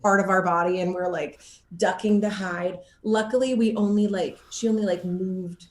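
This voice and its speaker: A woman actor chatting casually in an interview and telling anecdotes in an animated, laughter-prone way. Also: mid-range voice, normal speed, reverberant.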